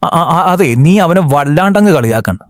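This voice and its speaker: A man's voice: on the high side, animated, normal speed, dry recording, clean studio sound, natural voice. A young man from Kerala voicing a lazy, jobless cartoon slacker with cocky, sulky comebacks. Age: young adult.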